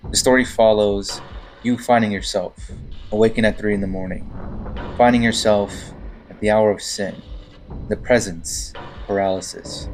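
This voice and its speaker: A man's voice: fairly low pitch, a little mellow, slight background noise, rough recording. A man presenting a scripted announcement as a voice-over narrator.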